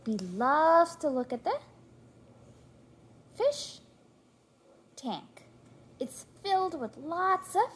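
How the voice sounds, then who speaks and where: A woman reading a storybook aloud to a toddler in an encouraging, sing-song way, pausing to prompt and praise. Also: high-pitched, very animated. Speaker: parent reading aloud to a young child.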